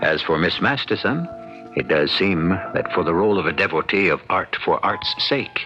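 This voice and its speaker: A man hosting an old-time radio mystery, narrating in a wry, theatrical and deliberate manner. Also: sits low, animated. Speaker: radio drama host and narrator. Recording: muffled old radio recording. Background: music.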